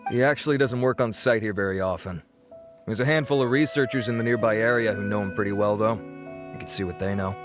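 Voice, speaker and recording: A man voicing a frank, dry-humored game researcher with a fairly low voice. He talks wryly, with music clearly playing behind him. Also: brisk, natural voice. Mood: wry, dismissive. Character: dry, wry male researcher, frankly gossiping about colleagues. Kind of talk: scripted video game dialogue.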